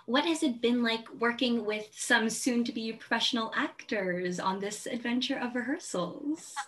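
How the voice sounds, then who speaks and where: A young woman student asking interview questions on a video call from home, quick and giggly. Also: fairly high pitch, fairly bright tone, slight background noise. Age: young adult. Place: home room, indoors. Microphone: laptop webcam on video call.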